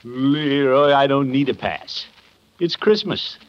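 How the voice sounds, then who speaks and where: An actor playing a jovial, pompous middle-aged uncle and bashful suitor in a 1950s radio sitcom, with hammy exclamations and chuckles. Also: male voice, mid-range voice, slow pace, lightly acted. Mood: blustery, defensive. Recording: muffled, noisy vintage 1950s radio recording.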